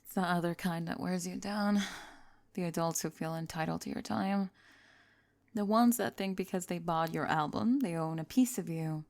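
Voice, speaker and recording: A woman's voice: lowish voice, moderate pace, vocal fry, no echo. A woman acting a famous young pop star on a date in an ASMR roleplay, confiding and hesitant, kind and playful but world-weary. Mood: weary, candid. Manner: confiding, hesitant, playful, trailing into soft murmurs. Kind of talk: intimate ASMR roleplay audio, one-sided date conversation.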